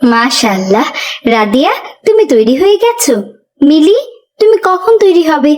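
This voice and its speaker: A woman voicing a pious, gentle but admonishing young mother in a children's moral cartoon. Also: high voice, sing-song, normal speed, faint music behind.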